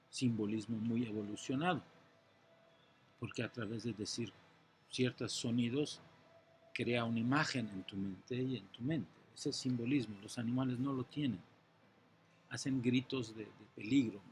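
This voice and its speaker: A man's voice: mid-range voice, slight background noise. Earnest middle-aged man from Iran. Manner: earnest, teacherly, with occasional restarts. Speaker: interviewee. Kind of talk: patient explanatory interview answers.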